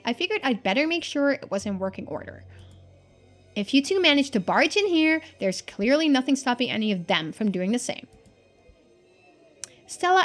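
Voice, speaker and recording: A woman's voice: medium pitch, natural voice. A woman voicing an anxious, guarded female cousin in a story game, scolding and defensive.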